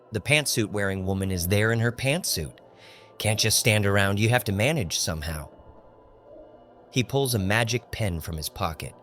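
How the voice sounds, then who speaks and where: A low-pitched man reads scripted literary fiction as an audiobook narrator. His delivery is measured and dramatic, with soft music underneath. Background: faint music.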